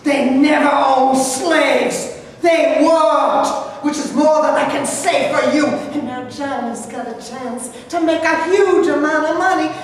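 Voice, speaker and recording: A woman's voice: sits high, takes it slowly. A woman reading the part of a poor, defiant mother who fights for her son in a staged play reading. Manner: combative, pleading, emotional. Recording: echoey hall from far off, faint noise.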